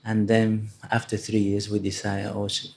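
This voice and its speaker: Low, flat-voiced man. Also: husky. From Spain. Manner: earnest, halting, restarts often. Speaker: audience member sharing a testimony.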